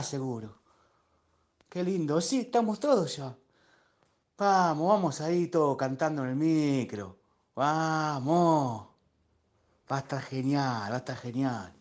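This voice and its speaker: A man's voice: on the high side, darkish tone. A man playing a nostalgic middle-aged Argentine who rambles and repeats himself in a comic radio play voice message. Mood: friendly, rambling. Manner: rambling, repetitive.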